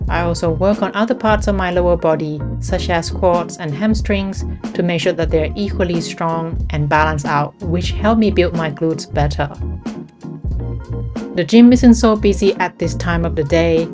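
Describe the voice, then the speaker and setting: A young woman narrating her fitness routine in a calm, chatty voice-over, lightly poking fun at herself. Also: fairly low pitch. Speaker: fitness vlogger. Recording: voice-over with music behind.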